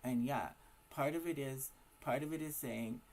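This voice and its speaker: A hoarse man with a raspy voice, giving a casual, emphatic opinion monologue to camera full of rhetorical questions. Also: mid-range voice, moderate pace, darkish tone, a little hiss or hum, rough recording. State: hoarse from smoking and partying. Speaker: vlogger.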